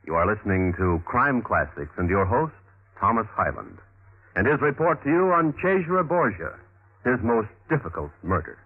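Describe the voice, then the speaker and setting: A man hosting and narrating a scripted 1950s radio crime show in a measured, wry, literary manner. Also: fairly low pitch, very animated. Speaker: host and narrator. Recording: muffled old radio recording with music. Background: music.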